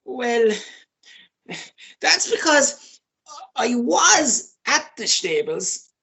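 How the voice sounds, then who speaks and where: A woman's voice: a little high, big pitch swings, drawn-out words, gravelly, pushed and bright, heavily acted, rough recording. A woman playing a hapless, flustered man who pleads and protests in a radio play. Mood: flustered, explaining.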